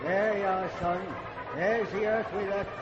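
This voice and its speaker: High folksy conductor of a death train, insistent, dull noisy old radio sound. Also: male voice, fairly even intonation, measured pace. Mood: eerily cheerful. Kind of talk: 1950s radio thriller acting. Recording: muffled, noisy vintage radio recording.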